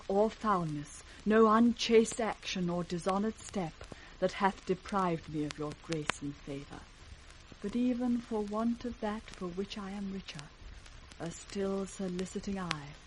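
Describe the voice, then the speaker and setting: An actress as a sincere, wronged princess in classical radio drama. She speaks slowly and gravely in a slightly low voice, formal and measured. There is a faint old-radio hiss. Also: natural voice. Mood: pleading. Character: sincere, dignified princess, wronged youngest daughter.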